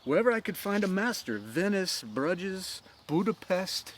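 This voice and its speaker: A man's voice: high voice, sing-song, unhurried, slight background noise, voice pushed up. A man voicing an earnest, driven young swordsman in a storybook reading, eager and rambling. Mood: eager, reckoning. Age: young adult. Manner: eager, rambling, counting aloud. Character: earnest, driven young swordsman bent on avenging his father.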